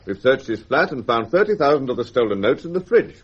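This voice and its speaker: A man's voice: medium pitch, very animated, quick pace, husky, lo-fi sound. An actor playing a formal, deferential male police superintendent in a radio drama. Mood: formal, apologetic. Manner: formal, official, apologetic. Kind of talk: BBC radio drama acting.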